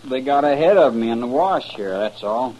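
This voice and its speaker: Man playing a surly western drifter, swinging pitch. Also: lively intonation, moderate pace, a little mellow, close to an everyday voice. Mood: evasive, sullen. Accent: American West. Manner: evasive, defiant. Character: shifty, surly male drifter posing as cowboy. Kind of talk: old-time radio western acting. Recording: muffled, noisy old radio recording.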